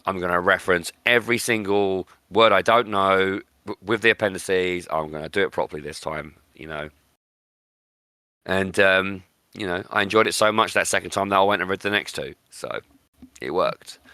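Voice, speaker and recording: A man with a low, forceful voice hosts a book podcast. He argues his opinions emphatically and repeats words to drive them home. The sound is dry, with very little room to it. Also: normal speed, clean tone, no echo. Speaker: podcast host.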